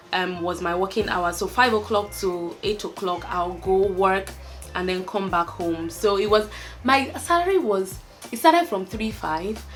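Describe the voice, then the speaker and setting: A young woman with a Nigerian accent, chatting candidly to camera, laughing and trailing off with fillers. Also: medium pitch. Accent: Nigerian, Lagos. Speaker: vlogger. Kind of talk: casual chat to camera, answering a tag list.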